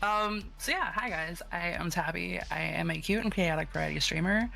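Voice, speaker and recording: A soft-spoken woman guest chats in a laid-back way. Her low voice has a gravelly fry to it, and faint music sits behind her. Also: normal speed, low-quality recording. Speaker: guest streamer. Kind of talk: casual interview chat. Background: faint background music.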